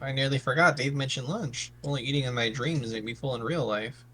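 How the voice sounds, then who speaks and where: Man playing an anxious, stammering young misfit, bright-voiced. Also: mid-range voice, a little fast, a little hiss or hum, lightly acted. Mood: hesitant, puzzled. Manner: hesitant, stammering, earnest. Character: sensitive, anxious, hesitant misfit son of warriors.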